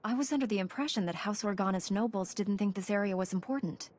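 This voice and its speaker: Woman playing composed, mid-pitched heroic knight. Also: fast, darkish tone, lightly acted. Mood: composed, concerned. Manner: formal, assured. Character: composed, noble female Jedi knight, dutiful and resolute. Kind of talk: video game voice acting.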